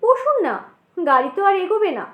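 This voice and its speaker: Lady playing a nervous poor guy, polite, high voice that swings around, echoey audio drama. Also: big pitch swings, moderate pace, fairly bright tone, exaggerated voice. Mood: coaxing. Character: anxious, talkative poor man travelling to a new job. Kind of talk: audio drama dialogue acting.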